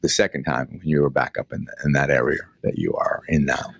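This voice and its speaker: A man's voice: rumbling low, steady tone, a little fast, gravelly, breathy edge, no echo. A man in his early sixties with a Boston accent, hosting a casual interview in a rambling way that repeats words. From Boston, New England. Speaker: interview show host.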